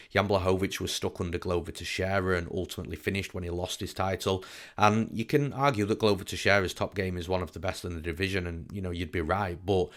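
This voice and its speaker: A man who is a little under the weather and croaky, giving an informal sports breakdown as an analyst and host. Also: low-pitched, fairly bright tone. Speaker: MMA betting analyst, show host. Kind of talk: informal fight breakdown commentary.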